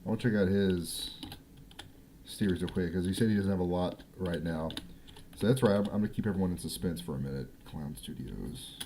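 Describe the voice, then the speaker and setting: Male reaction streamer: very deep voice, informal and exclamatory, slight background noise. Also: brisk, dark tone. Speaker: livestream host. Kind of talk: casual reaction commentary.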